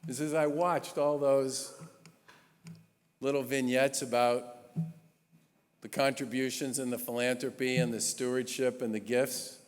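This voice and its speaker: A man in his sixties delivers an earnest gala introduction at a podium mic, leaning into key phrases and pausing between them. His mid-pitched voice carries a long reverb from a large church hall. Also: fairly even intonation. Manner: earnest, emphatic speaking with measured pauses. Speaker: state governor introducing an award honoree. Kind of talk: formal introduction speech at a gala.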